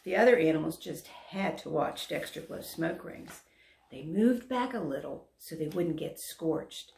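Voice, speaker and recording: Unhurried, expressive woman reading a storybook. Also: low-pitched, creaky, slight background noise. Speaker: volunteer story reader. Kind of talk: children's read-aloud narration. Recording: roomy echo.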